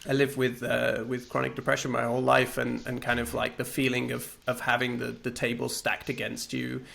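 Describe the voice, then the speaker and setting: A man's voice: medium pitch, completely flat delivery, normal speed, gravelly, fairly bright tone. A man, a game developer on a panel, talking in a thoughtful, hesitant way with many fillers and restarts. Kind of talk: casual panel conversation.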